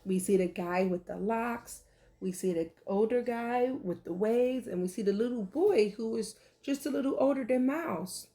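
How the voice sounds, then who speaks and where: A woman reading a picture book aloud like a teacher, with animated, deliberate delivery and questions for young listeners. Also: on the high side. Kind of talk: expressive children's read-aloud with questions to listeners.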